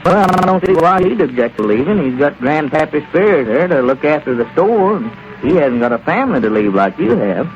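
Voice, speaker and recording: An actor playing a plain-spoken country friend with chuckling asides in a 1930s radio comedy. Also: male voice, fairly high pitch, sing-song, brisk, hardly any pauses, faint music behind. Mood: friendly. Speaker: townsman Dick. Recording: lo-fi old radio broadcast.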